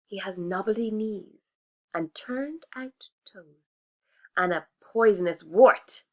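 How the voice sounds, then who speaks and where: A woman voicing a small, clever brown mouse in a children's storybook, sweet and polite, on a dull lo-fi recording. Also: slow. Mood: polite, cheeky. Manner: sweet, polite, high and bright.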